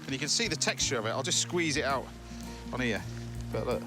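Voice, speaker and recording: A man's voice: mid-range voice, expressive, fairly quick. A man with an English accent hosting a cookery show, chatty and jokey as he cooks and talks with a guest. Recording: music playing behind.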